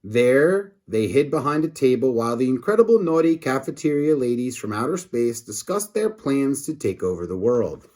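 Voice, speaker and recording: Animated man reading a children's storybook. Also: mid-range voice, normal speed, few pauses. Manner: expressive, with asides to listeners. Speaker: storybook reader. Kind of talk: animated children's read-aloud narration.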